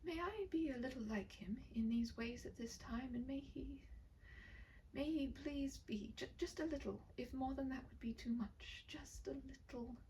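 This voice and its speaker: A woman's voice: high-pitched, normal speed, far from the mic, slight background noise, voice pushed up, low-quality recording. Woman voicing a timid young bride, whispering breathily. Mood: pleading, prayerful. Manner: hesitant, pleading, hushed. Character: timid, devout young noble bride, wistful and trembling.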